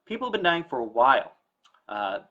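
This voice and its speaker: A man's voice: mid-range voice, very animated, brisk, muffled recording. A man giving a conversational, explanatory talk as an attorney who hosts a show, speaking informally and with emphasis. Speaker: estate planning attorney hosting a story time talk. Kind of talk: informal educational talk to camera.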